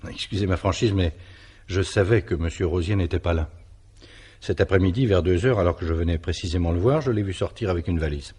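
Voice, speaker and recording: A shrewd male investigator in a radio mystery. His voice is low and husky. He is courteous and formal, yet keeps probing with dry insistence. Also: a little fast, darkish tone. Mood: polite insistence. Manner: polite, probing, dryly insistent. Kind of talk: French radio mystery drama acting.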